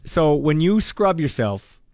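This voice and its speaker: A man's voice: fairly high pitch, very animated, slow pace, a little mellow, dry recording, studio quality. A middle-aged man co-hosting a morning radio show, joking casually and laughing at himself mid-sentence. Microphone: studio microphone.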